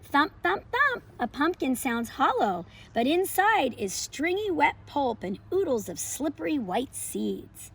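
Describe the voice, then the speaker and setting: Animated, high-pitched woman teaching children. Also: measured pace, few pauses. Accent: American. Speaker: homeschool educator and channel host. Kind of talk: presenting lessons and expressive children's read-aloud.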